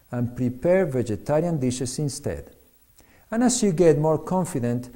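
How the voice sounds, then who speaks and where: A man reads a prepared talk on eating well. His voice sits a little high for a man, but it is mellow and warm in tone. He speaks in a steady, instructive manner. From Argentina. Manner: measured, instructive. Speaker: doctor giving diet advice.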